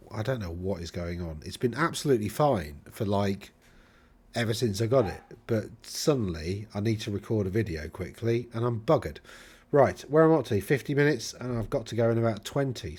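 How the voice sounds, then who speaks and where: A man's voice: mid-range voice, a little mellow. A man from England with a Middle England accent, casually talking through tournament army lists, rambling and often correcting himself. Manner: rambling, self-correcting, chatty asides. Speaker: host reviewing tournament lists. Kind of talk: casual solo commentary.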